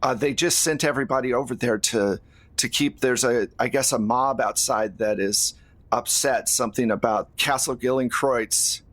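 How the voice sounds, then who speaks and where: A man's voice: mid-range voice, moderate pace, fairly bright tone, dry recording. A man running a tabletop roleplaying game, narrating and explaining in a conversational, improvised way. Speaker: game master.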